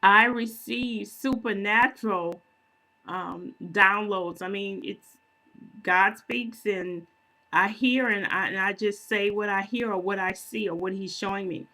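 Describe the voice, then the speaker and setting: A woman talks slowly and casually to her viewers while she walks them through her screen. She often stops to clear her throat, pauses on fillers and corrects herself as she goes. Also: medium pitch, pushed and bright, low-quality recording. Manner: unhurried, self-correcting, conversational. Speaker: prophetic minister and video channel host.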